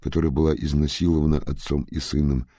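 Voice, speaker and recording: A man voicing a young Russian-American who frankly recounts his hard family past in an unhurried audiobook narration with polite asides. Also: rumbling low, little pitch movement, warm tone, no room sound, studio quality. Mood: matter-of-fact.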